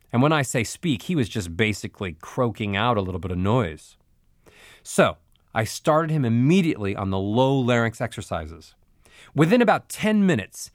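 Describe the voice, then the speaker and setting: Guy narrating voice lessons, clear coach tone, middle pitch, dead dry studio. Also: no echo. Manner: clear, coaching, demonstrates sounds. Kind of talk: instructional audiobook narration.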